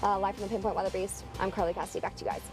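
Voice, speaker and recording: A woman's voice: medium pitch, little pitch movement, rapid-fire. An American woman giving an ad-libbed live traffic and weather report from a vehicle on the road. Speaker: TV meteorologist, live field reporter. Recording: music bed behind live report.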